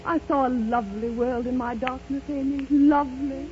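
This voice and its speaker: A woman's voice: high-pitched, little pitch movement, normal speed, natural voice. An actress playing a serene, rapturous bedridden blind woman in a 1930s radio drama, speaking with lyrical, theatrical fervor. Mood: tender, serene. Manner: theatrical, impassioned, lyrical declamation. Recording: muffled 1930s radio broadcast, loud music.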